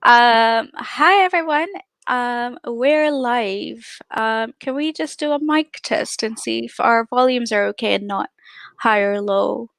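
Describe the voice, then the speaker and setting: A woman's voice: a little high, slow, gravelly, fairly bright tone, clean tone, dry recording, clean studio sound. A woman hosting a casual livestream interview, chatty, with frequent fillers. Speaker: podcast host.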